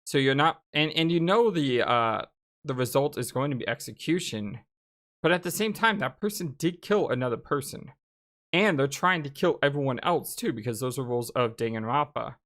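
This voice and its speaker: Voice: medium pitch, expressive, normal speed, a bit bright. A gaming channel host giving casual, reactive let's play commentary in an exclamatory way. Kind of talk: blind let's play commentary, casual chat and reading dialogue aloud.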